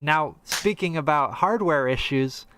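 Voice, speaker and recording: A man's voice: a little high, expressive, a little slow, a bit bright, no room sound, low-quality recording. A man co-hosting a casual, opinionated gaming discussion, rambling and a little hesitant with half-finished thoughts. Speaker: podcast co-host.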